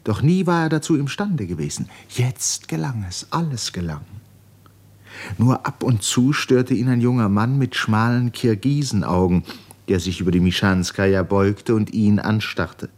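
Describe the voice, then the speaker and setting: A man narrating a literary radio play in a measured, dramatic manner with deliberate pauses. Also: fairly low pitch, a little mellow.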